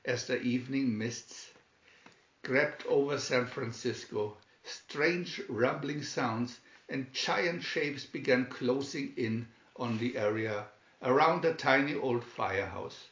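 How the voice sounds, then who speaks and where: A man reading a storybook aloud in a deliberate, dramatic narrating style. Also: medium pitch, a bit bright. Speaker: storybook reader. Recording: dull, echoey room recording.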